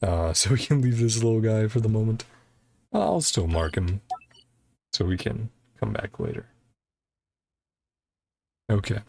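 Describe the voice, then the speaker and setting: A man giving casual gameplay commentary as a streamer, hesitant and thinking out loud. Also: fairly low pitch, wildly swooping pitch, a little slow, creaky, long pauses. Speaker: game streamer.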